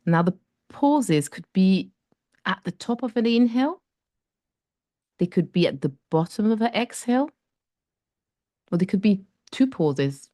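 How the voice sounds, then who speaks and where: A woman guiding a breathing meditation, speaking slowly and gently with long pauses. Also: medium pitch, lively intonation, no room sound. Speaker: meditation guide.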